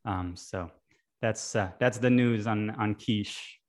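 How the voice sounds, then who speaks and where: Male podcast co-host, friendly and halting, given to ums and restarts. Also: fairly low pitch. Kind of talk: casual chat and interview hosting.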